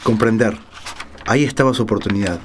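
Low-voiced male literary narrator. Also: fast talker, slight background noise.

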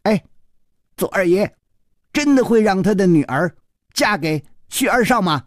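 A man's voice: high voice, expressive, unhurried, dry recording, clean studio sound, voice pushed up. A man voicing a cheeky, mocking half-grown street boy in a storybook. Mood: curious.

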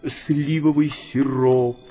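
An actor playing a grandiloquent fly gentleman who relishes decay, declaiming pompously in an audio drama. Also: medium pitch, level tone, slow pace, soft background music, lightly acted. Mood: rapturous.

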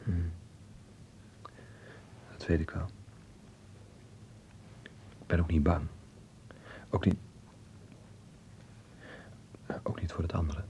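A man acting a sick, frightened, earnest teenage boy in a radio play, speaking in a halting, intimate, creaky half-whisper. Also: low-pitched, level tone, dark tone, slight background noise. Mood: guarded, pensive. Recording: muffled old radio play recording.

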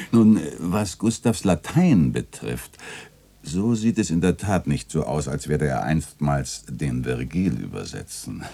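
An actor playing an older, learned, avuncular neighbour in a German literary radio play, speaking in a measured, pedantic and gently teasing way. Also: male voice, extremely low pitch, animated, gravelly, breathy edge, heavily acted. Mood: genial, wry.

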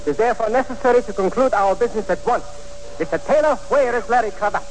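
An actor playing a sour, menacing fortune teller in a 1940s radio drama, on a reverberant old recording. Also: male voice, extremely high pitch, fast, a little hiss or hum. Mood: cold demand.

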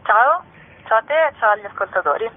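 A woman's voice: on the high side, expressive, fairly quick. Female radio guest, middle-aged, chatty and emphatic, tinny phone audio. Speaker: interview guest, festival organizer. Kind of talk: casual phone interview. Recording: thin phone line on radio.

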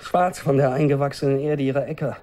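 A man's voice: mid-range voice, fairly quick, warm tone, close to an everyday voice. A man acting an earnest, intense undercover timber dealer in a German radio drama, with precise, articulate delivery. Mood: brooding, frustrated.

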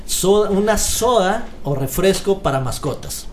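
A man co-hosting a comedy podcast, running through a topic list in casual, joking chat. His voice sits a bit high. Faint noise sits underneath. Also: lively intonation, unhurried. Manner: reads out list items, jokey. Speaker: podcast co-host.

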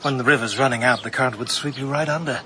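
A man's voice: medium pitch, fairly even intonation, pushed and bright, lightly acted. Radio play: actor doing an awkward, devoted man who stumbles over his words, hiss behind. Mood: earnest. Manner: halting, tongue-tied, earnest. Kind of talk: BBC radio drama acting.

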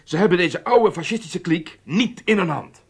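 An actor playing an obsessed, resolute Israeli intelligence chief, speaking gravely and emphatically in formal Dutch radio drama style. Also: male voice, high voice, lively intonation, moderate pace, fairly bright tone, heavily acted. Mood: distrustful.

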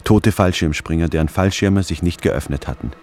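A man's voice: sits low, moderate pace, a little mellow, faint music behind. An actor playing a brooding, analytical man narrating his obsessive research in a radio drama. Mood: obsessive, matter-of-fact. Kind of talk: scripted first-person radio drama narration.

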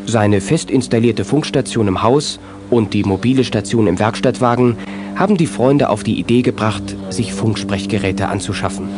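A man's voice: on the low side, moderate pace. A man reading scripted narration as the narrator of a children's audio drama. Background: music.

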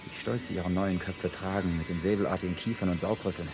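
Low-voiced, grimly sardonic man under threat. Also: fairly even intonation, fast talker, over music. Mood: bitterly sardonic. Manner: commanding, bitter, sarcastic. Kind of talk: German science-fiction radio drama acting.

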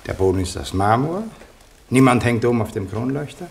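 A man acting a curious, officious sceptic in a radio play, brisk and assertive. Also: mid-range voice, lively intonation, vocal fry, a little mellow. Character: curious, officious male sceptic organizing a test.

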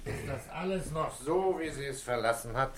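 An actor playing a calm, weary, middle-aged police commissioner in a German crime radio play, asking terse, unhurried, probing questions. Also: male voice, medium pitch, background noise, lightly acted.

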